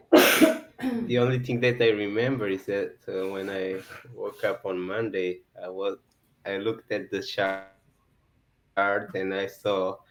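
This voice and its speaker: A man on a crypto panel telling a story in a halting way, repeating words. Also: fairly low pitch, slight background noise, poor audio. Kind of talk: casual livestream chat.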